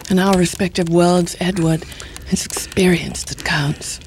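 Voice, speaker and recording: An actress playing a sly, seductive middle-aged intelligence handler, teasing and insinuating in formal, deliberate diction. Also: female voice, fairly low pitch, fairly even intonation, a little mellow, a little hiss or hum, lightly acted. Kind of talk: audio drama acting.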